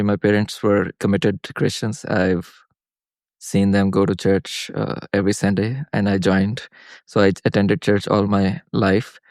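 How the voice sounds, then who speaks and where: A man from Pakistan, a podcast guest, telling stories in a halting, earnest way with many restarts. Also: lowish voice, a little mellow, no echo. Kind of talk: casual conversational storytelling.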